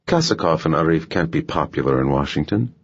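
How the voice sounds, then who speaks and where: Cool, wary hitman for a thriller audiobook, read by a male narrator: extremely low, husky, clipped and dry. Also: expressive, fairly quick, heavily acted. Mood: probing. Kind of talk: audiobook character dialogue. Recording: muffled, dull audio.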